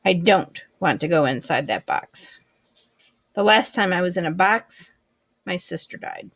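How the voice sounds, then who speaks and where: A woman reading a children's book aloud expressively, with deliberate, measured phrasing. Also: lowish voice, animated, a bit bright, breathy edge, no echo. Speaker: storybook reader.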